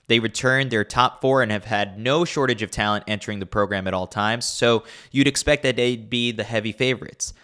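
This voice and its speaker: A man's voice: medium pitch, pushed and bright. A man hosting a podcast, reading a newsletter aloud in a scripted, wry and teasing delivery. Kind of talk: scripted read with dry humor.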